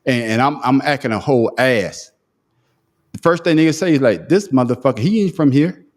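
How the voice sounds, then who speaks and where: A man's voice: medium pitch, lively intonation, normal speed. A man hosting a casual podcast, arguing emphatically and restarting his sentences often. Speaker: podcast host. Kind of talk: heated casual debate.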